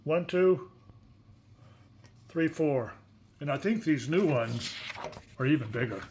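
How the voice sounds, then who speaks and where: A man's voice: on the high side, expressive, slow pace, darkish tone, a little hiss or hum. A man reading a picture book aloud expressively, with casual, chatty asides and questions to a young listener. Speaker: story reader.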